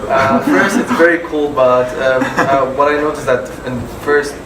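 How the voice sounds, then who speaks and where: A man's voice: medium pitch, moderate pace. A man from Egypt speaking as an interview guest, hesitant with many fillers. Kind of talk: casual conversation. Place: office contact centre. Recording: roomy, distant, background hum.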